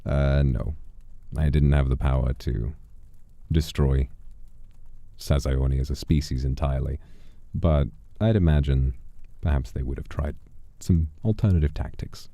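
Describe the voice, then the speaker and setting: A man's voice: extremely low pitch, unhurried, warm tone, no room sound. A man livestreaming as an old demon persona, telling stories in a musing, theatrical way on a close microphone. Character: centuries-old wandering voice demon streamer persona. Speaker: livestream host. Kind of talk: in-character storytelling and casual livestream chat. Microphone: close studio microphone, dry.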